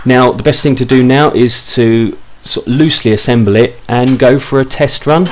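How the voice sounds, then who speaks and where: A man's voice: mid-range voice, moderate pace. A man in his thirties giving an informal, step-by-step repair commentary as a hobbyist, explaining things a little hesitantly.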